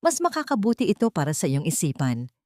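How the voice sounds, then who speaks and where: A woman's voice: fairly low pitch, wildly swooping pitch, fast talker, warm tone, no echo, clean studio sound. A woman voicing a cold, controlling daughter-in-law who speaks sharply and condescendingly with false sweetness in an audio drama. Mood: falsely sweet.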